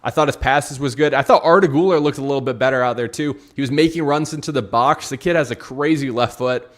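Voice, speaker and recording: A man's voice: mid-range voice, quick pace. A man chatting casually as a football pundit on a talk show, slangy and matey, repeating points for emphasis. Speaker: football show co-host or guest. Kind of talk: casual football analysis chat.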